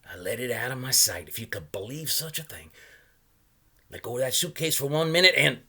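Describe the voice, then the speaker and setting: Man voicing a whimsical old salesman, pitched high. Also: sharp tone, slightly rough, heavily acted. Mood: cautioning. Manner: musing, folksy, halting asides. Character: odd, genial old salesman in a gray suit, whimsical.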